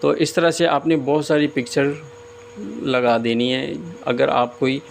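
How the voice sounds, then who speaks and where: A man giving a casual step-by-step screen tutorial as host, often pausing hesitantly mid-sentence. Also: mid-range voice, little pitch movement. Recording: clear background noise, room ambience.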